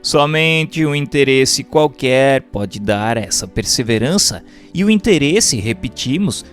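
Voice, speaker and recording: A man reads a religious text aloud as scripted narration, in a measured, formal, didactic manner. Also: medium pitch, expressive, soft background music. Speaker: audiobook narrator.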